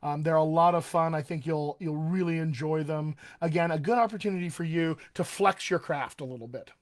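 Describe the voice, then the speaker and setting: A man's voice: a little high, brisk. A man, a dialect coach, talking casually in a live stream, friendly and chatty with frequent ums and uhs. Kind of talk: live-streamed solo talk.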